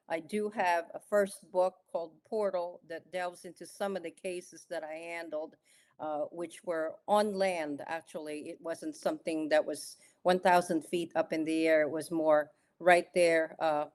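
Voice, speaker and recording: A middle-aged woman from the Philippines, a guest author recounting her past in a podcast interview, with measured pauses and frequent "uh" and "um.". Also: low voice, a little mellow.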